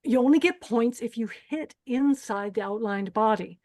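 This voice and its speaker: A deranged beauty pageant hostess played by a woman in a horror table read, pitched on the high side. She is bossy and threatening, handing out orders with menace. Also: moderate pace, darkish tone, lightly acted. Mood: menacing. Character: menacing, deranged female pageant host ordering contestants around.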